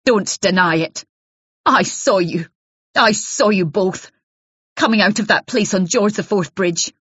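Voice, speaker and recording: A woman's voice: mid-range voice, sing-song, moderate pace, breathy edge, no echo, muffled recording, pitched above the performer's own voice. A woman voicing a hostile, jealous wife who accuses and sneers down the phone. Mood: accusing.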